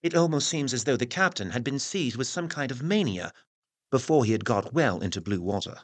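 A man playing a grave newspaper reporter in an audio drama, narrating in a formal, measured, solemn manner. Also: medium pitch, lively intonation, lightly acted. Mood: formal, intrigued.

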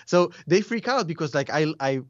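A man's voice: a little high, moderate pace. A man in his forties with a thick Russian accent chats as a podcast guest. He rambles, restarts his sentences and laughs a lot. His voice sounds dry and a little dull, with no room echo. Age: 40s. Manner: animated, rambling, laughs often. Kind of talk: casual interview chat.

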